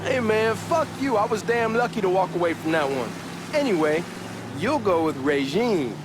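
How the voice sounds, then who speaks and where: Excitable, swooping young Southern hustler. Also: male voice, sits high, moderate pace. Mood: joking, teasing. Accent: American South. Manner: talkative, slangy, cocky. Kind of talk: acted game dialogue, casual banter. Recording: car engine and street noise behind. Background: moving car ambience.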